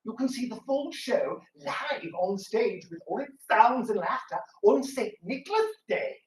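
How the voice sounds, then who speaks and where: A man's voice: very high voice, very animated, unhurried, exaggerated voice. A man playing a merry, theatrical old-time radio storyteller in a grand, declamatory manner. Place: live talk in a public library room. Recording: roomy, distant mic with some noise.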